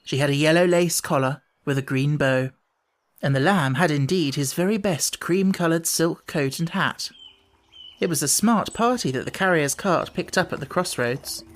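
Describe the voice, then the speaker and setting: A woman's voice: low-pitched, moderate pace. A woman reading a children's audiobook as its narrator, in scripted storybook narration.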